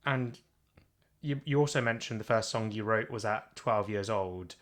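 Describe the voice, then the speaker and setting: A man hosting a podcast, asking curious questions in a relaxed, chatty way with some fillers. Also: on the low side, moderate pace. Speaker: podcast host. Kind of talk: casual interview chat.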